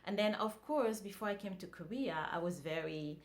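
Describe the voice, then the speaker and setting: A woman's voice: medium pitch, moderate pace. A woman, chatting casually to camera as a vlogger with lots of fillers and restarts. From France. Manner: relaxed, conversational, many fillers and restarts.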